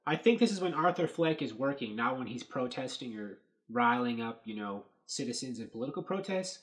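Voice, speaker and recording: A man in his thirties, hosting a casual commentary on movie set photos, thinking aloud with lots of fillers, recorded at a desk webcam in a small dark room. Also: mid-range voice, lively intonation, fairly quick. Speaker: host.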